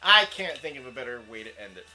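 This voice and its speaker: Bright-voiced man chatting casually. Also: medium pitch, lively intonation, fast talker, faint music behind, rough recording. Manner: rambling, laughs often, many fillers. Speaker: co-host. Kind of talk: casual chat.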